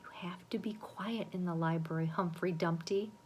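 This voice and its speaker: Storybook kid role: a booming, boisterous schoolboy, read by a woman, lines stretched out slow and raised higher than her usual voice. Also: big pitch swings, a bit bright, heavily acted. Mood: loud, excited. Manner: bellowing, drawn-out. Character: loud, boisterous boy classmate.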